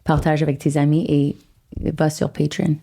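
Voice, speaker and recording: A woman's voice: sits low, little pitch movement, normal speed, vocal fry, mellow. A middle-aged woman from Ottawa hosting a podcast in casual chat, dropping English phrases into her French. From Ottawa, Canada. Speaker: podcast host.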